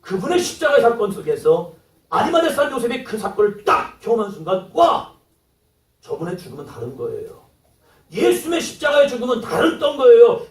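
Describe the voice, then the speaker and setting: Male pastor, sermon delivery, high and animated, plays out dialogue, distant mic in a reverberant hall. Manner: acts out quoted dialogue, rising to exclamations.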